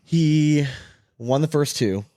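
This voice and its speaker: A man's voice: a little high, takes it slowly, dark tone, no echo, studio quality. A man hosting a sports podcast in casual, conversational talk with his co-host and guest.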